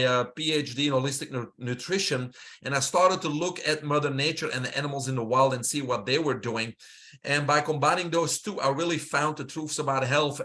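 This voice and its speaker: A middle-aged man from Belgium with a Belgian accent, a guest explaining his health work in a steady way, with frequent filler sounds. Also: medium pitch. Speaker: guest, holistic health mentor. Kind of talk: interview answer, casual.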